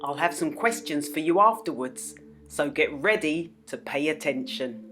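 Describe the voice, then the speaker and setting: A woman narrating a children's story as a storyteller, with dramatic, expressive delivery. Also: low-pitched, moderate pace, loud music behind the voice. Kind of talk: children's read-aloud narration.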